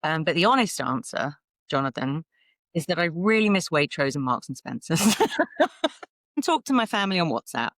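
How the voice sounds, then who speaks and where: A woman's voice: low voice, very animated, moderate pace, fairly bright tone, dry recording, clean studio sound. A woman with a southern English accent, talking casually and chattily as a podcast guest, with some self-deprecation. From south of England, London suburbs. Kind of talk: casual conversational interview.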